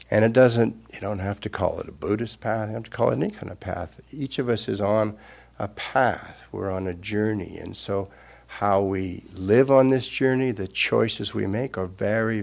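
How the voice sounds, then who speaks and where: Low gravelly older man giving a Dharma talk. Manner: reflective, gentle, unscripted with pauses. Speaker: Buddhist monk teacher.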